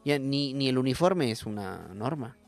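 A man's voice: medium pitch, lively intonation, normal speed, faint music behind. A young man with a Bolivian accent hosting a casual, comic radio show.

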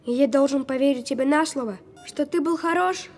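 A woman voicing a skeptical, sharp-tongued sick young boy in an audio drama, precocious and needling. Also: sits high, brisk, faint music behind, close to an everyday voice.

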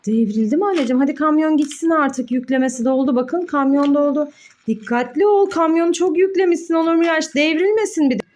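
A woman's voice: high voice, a little fast, a little mellow. A woman, a mother, narrating toy play for children, encouraging and exclamatory as she talks to her small child and to viewers. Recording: light background noise with clicks.

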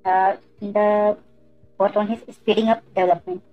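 A woman presenting an explanatory tutorial in a halting way, often repeating words and phrases as she goes. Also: mid-range voice, flat delivery, muffled recording, soft background music. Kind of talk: explanatory screen-share tutorial.

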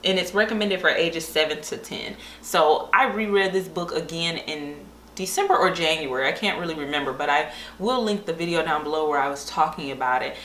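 A young woman with a slightly low voice talks casually to the camera like a book vlogger. She is informal and enthusiastic. The small room echoes noticeably, with a little background noise behind her. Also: sharp tone. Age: young adult. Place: small bare-walled room indoors.

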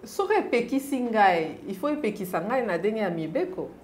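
A middle-aged woman from the Congo speaks as a studio interview guest. She is animated and insistent and talks at an average pitch. Faint background noise sits under her voice. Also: moderate pace. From Democratic Republic of the Congo. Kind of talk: studio interview, mixing French and Lingala. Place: TV studio, indoors.

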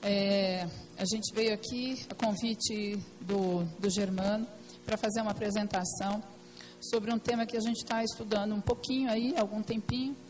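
A woman speaking, her voice hoarse and worn. She presents to a council meeting in a fairly high register, hesitant and filled with restarts. Faint music sits beneath her. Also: a little mellow, low-quality recording. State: hoarse, partly lost voice. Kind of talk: technical presentation with slides. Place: meeting room at a plenary session.

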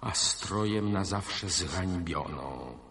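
An actor playing a grand, anguished master fashion designer who declaims solemnly and pompously in a radio play. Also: male voice, low-pitched, expressive, drawn-out words, vocal fry, a bit bright, exaggerated voice. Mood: bitter cursing. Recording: long hall-like reverb.

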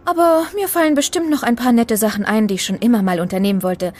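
An actress playing a feisty, sharp-tongued young woman in an audio drama, sassy and commanding. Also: a little high, quick pace, natural voice. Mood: bossy, teasing.

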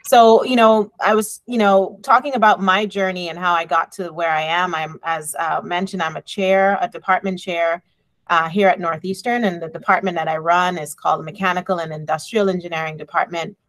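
A woman's voice: fairly low pitch, fairly even intonation, a bit bright, dry recording. A middle-aged woman from the Bahamas, an engineering professor and department chair, giving a reflective conference talk over a video call from her office, with frequent ums and you knows. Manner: reflective, measured, many fillers like um and you know. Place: office with bookshelves and window. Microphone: video call, laptop webcam.

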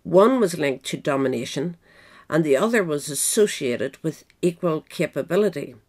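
A woman reading a scripted history essay aloud in a measured, formal manner. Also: deep voice, lively intonation, darkish tone. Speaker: audiobook reader.

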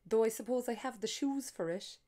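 A woman's voice: on the high side, brisk, dark tone, pitched above the performer's own voice, close to an everyday voice. A woman reading aloud voices a sixty-eight-year-old Cork woman with a dry, teasing, emphatic manner and a Cork accent. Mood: wry teasing. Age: 60s. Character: sharp-tongued old Cork woman, 68, wry.

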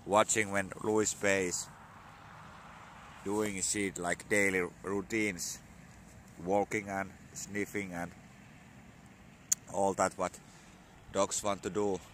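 A man in his forties with a Finnish accent, a bit hoarse from a cold, talking in halting English with many fillers into a phone held at arm's length outdoors. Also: sits low, fairly bright tone, a little hiss or hum. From Finland. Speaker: vlogger. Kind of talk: casual outdoor vlog talk. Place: outdoors on a sunny spring morning.

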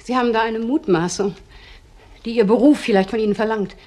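An actress playing a proud, trusting wife in a crime drama, speaking in husky, halting, deliberate phrases. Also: female voice, fairly high pitch, a little mellow, natural voice. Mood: stunned, brooding. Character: proud, trusting wife defending her suspected husband. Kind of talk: German TV crime drama dialogue.

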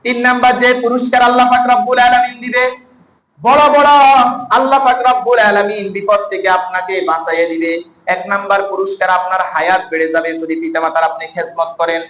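A man's voice: high-pitched, fairly even intonation, fairly quick, a bit bright. A man preaching an Islamic sermon to a congregation, exhorting them and repeating his phrases for emphasis. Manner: exhortatory, repeats phrases, prompts listeners to respond. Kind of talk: sermon to a congregation, with religious stories. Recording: echoey room, faint background noise.

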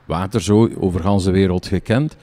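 A man's voice: low voice, moderate pace, mellow. A man with a Flemish accent working as a reporter, introducing guests and putting questions conversationally. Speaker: reporter, interviewer. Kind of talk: conversational TV interviewing. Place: indoors. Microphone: handheld reporter microphone.

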